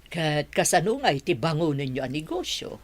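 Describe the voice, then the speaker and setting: A woman's voice: low-pitched, very animated, moderate pace. A woman with a Filipino accent playing a defensive, grasping mother in a radio drama. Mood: scheming.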